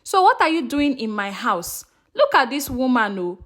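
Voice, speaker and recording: A woman storyteller performs a haughty, brazen young mistress from a folktale. Her voice is very high and swings up and down as she taunts and snaps with open contempt. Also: very animated, normal speed, a bit bright, heavily acted. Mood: scornful, defiant. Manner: taunting, snapping insults.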